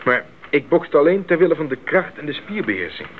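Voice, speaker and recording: A man's voice: fairly high pitch, very animated, fairly quick. An actor playing an idealistic, eager young male missionary in a 1980s radio drama, speaking earnestly and articulately. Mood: cheerful. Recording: narrow-band 1980s radio recording, faint noise.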